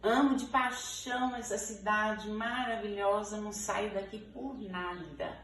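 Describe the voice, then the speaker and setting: The unhurried woman in her sixties you would hear giving an informal interview in a reverberant room in inland Minas Gerais, chatting in the local Brazilian accent. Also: mid-range voice, fairly even intonation, a bit bright. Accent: Brazilian Portuguese, Minas Gerais. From Ferros, Minas Gerais, Brazil. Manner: conversational, frequent checking tags like "né" and "sabe". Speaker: interviewee, teacher. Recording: echoey room, distant mic, background noise.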